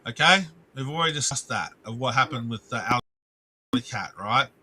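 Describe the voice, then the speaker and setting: A man's voice: medium pitch, animated, moderate pace, bright, forceful tone, breathy edge. A man ranting on a live stream, rambling and restarting his sentences, swearing and breaking off to greet viewers in the chat.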